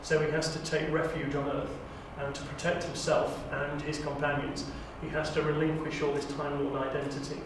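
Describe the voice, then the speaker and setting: A man's voice: on the high side, little pitch movement, normal speed, few pauses. Man chatting in a very echoey room. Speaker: auditioning actor and amateur film maker. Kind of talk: casual chat at an audition. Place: echoey indoor university room. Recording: very echoey room, distant mic, faint noise.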